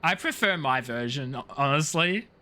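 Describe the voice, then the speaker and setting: A man's voice: a little high, lively intonation, moderate pace, pushed and bright. A man live streaming a video game, talking casually and jokily in slang with playful mock outrage. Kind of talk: casual chatty game commentary.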